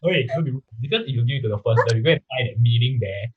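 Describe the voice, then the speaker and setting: A man's voice: mid-range voice, very fast, phone-quality sound, noisy background. A young Singaporean man in his twenties, talking bluntly and offhandedly as a guest on a casual panel, full of "you know" and "right". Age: 20s.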